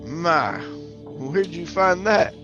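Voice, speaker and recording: A man's voice: on the high side, very animated, drawn-out words, fairly bright tone, lo-fi sound, faint music behind, full character voice. An actor playing a folksy, talkative oil-rig worker who tells his eerie tale in colloquial radio horror drama style. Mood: startled. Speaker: actor and narrator. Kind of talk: old-time radio horror drama acting and first-person narration.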